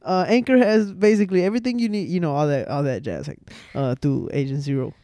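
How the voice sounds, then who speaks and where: Rambling young man with a mellow voice. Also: fairly high pitch, quick pace. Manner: rambling, stumbling restarts, lots of slang. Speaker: podcast host. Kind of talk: casual chat. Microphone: boom arm mic.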